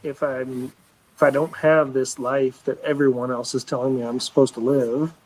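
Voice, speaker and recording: A man's voice: medium pitch, flat delivery, normal speed, a little mellow, a little hiss or hum, low-quality recording. A man in his forties talking on a live show in a rambling, earnest way, often restarting sentences and swearing casually. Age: 40s. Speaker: livestream show regular.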